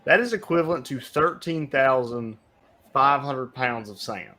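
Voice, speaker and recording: A young man co-hosting a casual podcast from home, talking in a loud voice that carries and telling stories in a chatty way. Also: medium pitch, measured pace. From United States. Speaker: podcast co-host. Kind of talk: casual chat. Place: home, remote recording. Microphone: home podcast mic.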